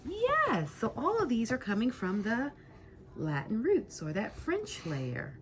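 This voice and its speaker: Lively woman teaching, animated pitch. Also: a little hiss or hum. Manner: encouraging, emphatic, drawn-out key words. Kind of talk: spoken lesson, questioning a student.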